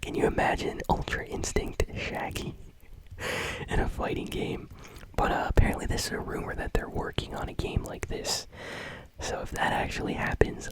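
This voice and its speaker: A young man hosting gaming news in a soft, close-miked ASMR whisper, chatting casually. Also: low-quality recording. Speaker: host.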